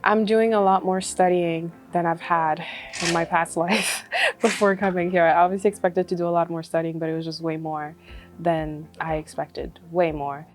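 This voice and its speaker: Brief: young woman from Congo, lowish voice, relaxed testimonial, faint music under. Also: normal speed. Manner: casual and chatty, many fillers, laughs often. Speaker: medical student. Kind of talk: testimonial interview.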